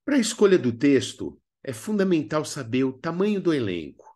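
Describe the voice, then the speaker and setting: A man with a Brazilian accent presenting an instructional lesson in a didactic, expressive way with colloquial asides. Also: on the high side, fairly quick. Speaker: drama teacher presenting a video lesson. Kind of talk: instructional narration with acted examples.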